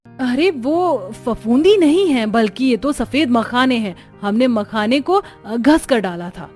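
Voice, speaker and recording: Lady playing a cartoon young bahu, high whiny voice, argues and makes excuses, music behind. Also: lively intonation, takes it slowly, a little mellow. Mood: defensive. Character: defiant young daughter-in-law, breezy and excuse-making.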